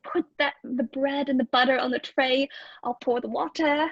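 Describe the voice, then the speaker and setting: A woman playing a timid, eager-to-please landlady in a radio play, hesitant and stammering, with a hushed, breathy delivery. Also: high-pitched, fairly even intonation, moderate pace, no room sound, lo-fi sound. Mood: excited, bustling. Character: timid, eager-to-please married landlady in an old British lodging house. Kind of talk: old-time radio play acting.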